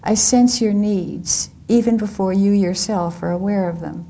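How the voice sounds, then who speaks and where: A woman reading the lines of a persuasive, worldly man who speaks in a soft, measured, reassuring way. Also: mid-range voice, gravelly, a little hiss or hum. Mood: soothing.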